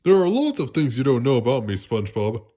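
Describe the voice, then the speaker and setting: A man's voice: mid-range voice, very animated, quick pace, warm tone, lo-fi sound. A man voicing a dim, cheerful, confident starfish buddy in a boisterous storybook character voice. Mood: boastful.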